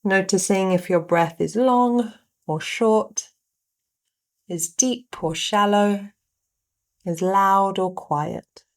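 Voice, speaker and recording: A woman guiding a meditation, giving gentle instructions in short phrases with pauses between them. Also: medium pitch. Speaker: meditation guide. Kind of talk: guided meditation narration.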